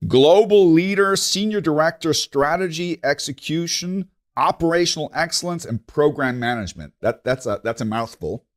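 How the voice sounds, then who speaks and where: A man's voice: a little high, expressive, moderate pace, few pauses. A man hosting his own podcast, rambling and hesitant, with many fillers and restarts. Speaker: podcast host. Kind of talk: casual interview hosting.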